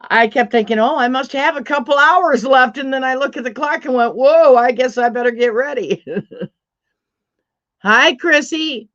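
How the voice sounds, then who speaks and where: Middle-aged woman host, deep voice, unhurried and chatty, slightly dull lo-fi stream sound. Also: fairly bright tone. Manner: chatty, laughs often, reads chat comments aloud. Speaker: livestream host. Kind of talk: casual livestream chat.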